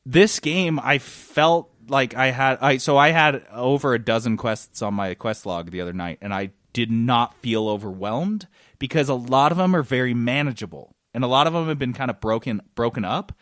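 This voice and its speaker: A man's voice: medium pitch, normal speed, fairly bright tone, no room sound, clean studio sound. A man co-hosting a podcast, talking casually about video games in an enthusiastic, rambling way as he explains things. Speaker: podcast co-host. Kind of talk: casual video game chat.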